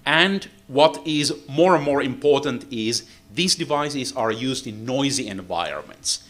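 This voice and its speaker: A man from Finland, a native Finnish speaker with a Finnish accent, giving a technical seminar lecture in English with frequent "uh, uh" pauses. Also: medium pitch, lively intonation, a bit bright. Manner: halting, many "uh, uh" fillers.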